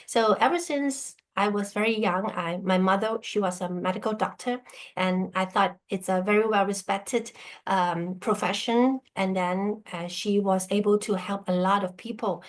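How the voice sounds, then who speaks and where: A woman's voice: medium pitch, normal speed. Woman presenting over a video call. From born in Burma, grew up in Hong Kong. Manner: checks in with listeners by asking "okay?". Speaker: guest presenter sharing her story. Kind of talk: casual spoken presentation to an online group.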